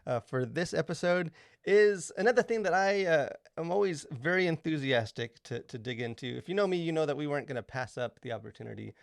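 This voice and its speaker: A man's voice: a little high, animated, a little fast, vocal fry, dry recording. Male podcast host, enthusiastic, hesitant delivery, dry close sound. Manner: enthusiastic, lots of filler hesitations. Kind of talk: casual podcast chat.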